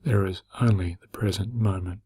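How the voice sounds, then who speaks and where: A man narrating a guided meditation in a gentle, soothing, slow manner with long pauses and a breathy, husky voice. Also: deep voice, fairly even intonation, slight background noise.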